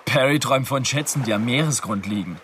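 An actor playing a mocking brash crook. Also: male voice, medium pitch, a little fast, fairly bright tone, slight background noise, lightly acted. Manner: mocking, swaggering. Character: brash restless male criminal Dick, mocking. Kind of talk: radio drama acting.

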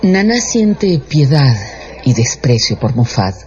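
A man's voice: medium pitch, sing-song, takes it slowly. A man delivering scripted, dramatic narration for a radio drama. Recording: dull radio production with music underneath.

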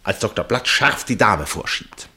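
An actor playing an absorbed first-person narrator in a literary radio play, measured and suspenseful, with deliberate pauses and a husky voice. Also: male voice, on the low side, lively intonation, pushed and bright, exaggerated voice. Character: absorbed male first-person narrator watching a tense match.

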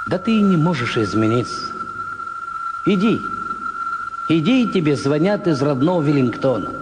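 An actor playing a taunting, commanding inner voice in a radio drama, with long reverb. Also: male voice, fairly high pitch, big pitch swings, moderate pace. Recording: echoing hall, clear noise behind.

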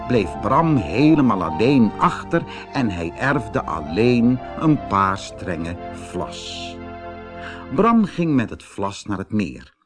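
A man narrating a scripted fairy tale for an audiobook in an expressive, dramatic storytelling manner, with a husky voice. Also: mid-range voice.

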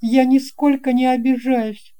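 Narrator voicing a high-pitched, friendly boy pilot. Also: flat delivery, a little slow, warm tone, clear, resonant tone. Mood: friendly, confident. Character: boy pilot, friendly, confident, calmly reassuring. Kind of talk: audio fairy-tale dialogue.

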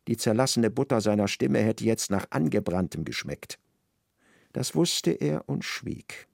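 A male narrator for a literary radio drama. His voice is fairly low with a creaky grain, recorded dry and close, and he tells the story with measured precision. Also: big pitch swings, darkish tone, dry recording.

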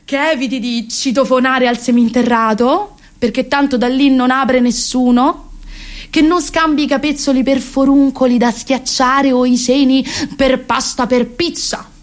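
A woman of about thirty with a Roman Italian accent performing a comic theatrical monologue in an expressive, confiding, colloquial way. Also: a little high. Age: around 30. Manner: confiding, expressive, colloquial, asks rhetorical questions. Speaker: actress and monologuist.

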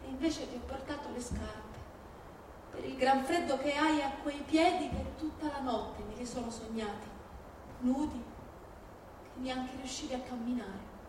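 A woman's voice: high-pitched, unhurried. A woman acting a fierce, grieving older Sicilian mother in an emphatic, plaintive stage monologue, with a Sicilian accent. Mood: sorrowful, tender. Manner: emphatic, plaintive, repeating phrases. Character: fierce, grieving Sicilian mother disowning her criminal son. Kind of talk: dramatic theatrical monologue on stage. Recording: very echoey hall from far, faint noise.

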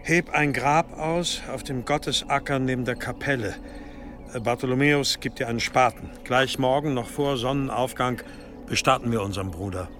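A man's voice: medium pitch, moderate pace, lightly acted. Man playing a stern, commanding medieval abbot. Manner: authoritative, curt. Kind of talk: German radio drama acting.

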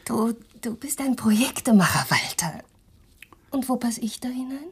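A woman's voice: fairly high pitch, expressive, normal speed, husky, full character voice. An actress playing a skeptical woman questioning her lover in an intimate, probing way in a German radio crime drama.

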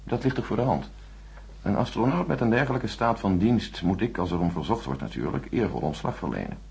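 An actor playing a coolly official, condescending chief space engineer with a husky voice and a formal, patronising way of talking. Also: male voice, fairly low pitch, expressive, brisk, darkish tone, exaggerated voice. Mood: businesslike. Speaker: radio drama actor. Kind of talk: radio play dialogue.

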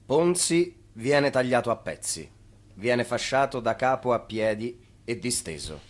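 An actor playing the narrator who sets the scenes in a radio play. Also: male voice, medium pitch, lively intonation, measured pace, natural voice. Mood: matter-of-fact. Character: male narrator setting scenes in a stage tale.

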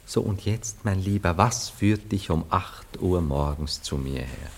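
Very deep, husky nervous bridegroom. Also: male voice, a little slow, full character voice. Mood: nervous, irritable. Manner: evasive, self-dramatizing, florid storytelling. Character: nervous, theatrical bridegroom confessing on his wedding morning. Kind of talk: classic German radio play acting.

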